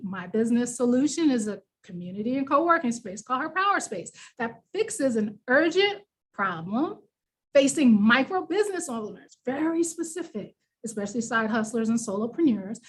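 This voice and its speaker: A woman's voice: on the high side, breathy edge. A woman with a New York accent presenting a workshop in a conversational, encouraging, explanatory way, leaning on key words for emphasis. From New York, United States. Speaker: workshop presenter, business coach.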